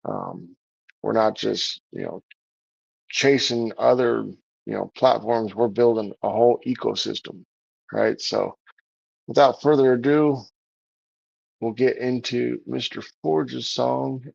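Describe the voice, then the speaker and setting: A man's voice: medium pitch, steady tone, slow pace, vocal fry, long pauses, darkish tone, breathy edge, no echo, lo-fi sound. A man hosting a music livestream in a casual, rambling way, with many fillers and restarts. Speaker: livestream show host.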